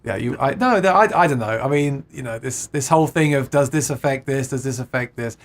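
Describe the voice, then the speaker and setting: Guy hosting a livestream, chatty, reads viewer comments, laughs a lot, mid pitch. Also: brisk. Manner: chatty, laughs often, many restarts. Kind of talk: casual chat about guitars.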